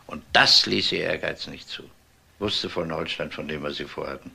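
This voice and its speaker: An actor playing a methodical, world-weary police detective in a crime drama, questioning in a measured, insistent way. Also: male voice, rumbling low, creaky, pushed and bright, breathy edge, exaggerated voice. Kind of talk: German TV crime drama dialogue.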